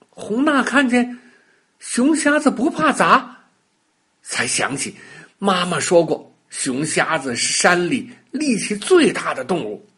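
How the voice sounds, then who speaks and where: A man telling a children's bedtime story in a dramatic, expressive way, with exclamations and sound words. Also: high-pitched, slightly rough.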